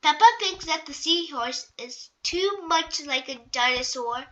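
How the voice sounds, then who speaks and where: Female narrator, children's read-aloud, high bright voice, unhurried, slightly muffled recording. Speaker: storybook reader.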